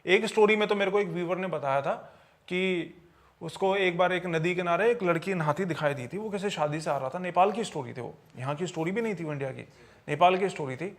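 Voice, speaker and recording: A man with a notably high-pitched voice tells eerie supernatural stories as a podcast storyteller. He speaks conversationally, circling back to repeat and reword phrases for emphasis. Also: a little fast. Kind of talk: conversational storytelling of paranormal tales.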